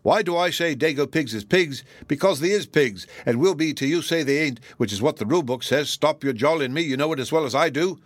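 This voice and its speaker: A man voicing a stubborn, sly express agent with a folksy brogue and drawn-out grumbling. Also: on the high side, no echo, voice pushed up, natural voice.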